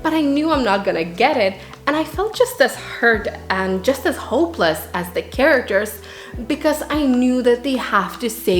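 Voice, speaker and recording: A woman narrating a scripted book review in an earnest way, with dramatic emphasis. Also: fairly high pitch. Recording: roomy echo, music underneath. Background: background music.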